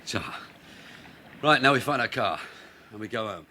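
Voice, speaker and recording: Seasoned English police sergeant, wry, bright forceful voice, lively pitch, radio drama, faint noise behind. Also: male voice, normal speed. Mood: wry, grumbling. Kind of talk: British radio drama acting.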